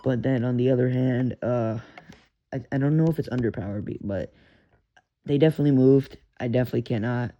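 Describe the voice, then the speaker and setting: A man's voice: mid-range voice, fairly quick. A young man with a Texan accent rambling casually about radio hobby gear, swearing, full of fillers and restarts. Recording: lo-fi with background hiss.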